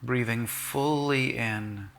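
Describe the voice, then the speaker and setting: A man leading a guided body-scan meditation in short, gentle, measured phrases with long pauses. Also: mid-range voice, creaky, faint music behind.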